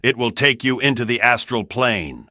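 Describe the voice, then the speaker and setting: A man voicing a brisk, authoritative spy director giving a clipped mission briefing in a game. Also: mid-range voice, expressive, sharp tone, no room sound, clean studio sound. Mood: commanding.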